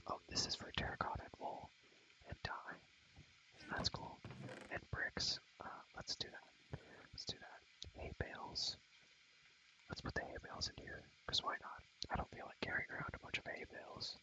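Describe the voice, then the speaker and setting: A man whispers breathily, with a sharp, very bright hiss to every word. He rambles casually through a video game tour in slangy ASMR style, often repeating short phrases. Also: extremely high pitch, rough recording. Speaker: ASMR creator showing his game world.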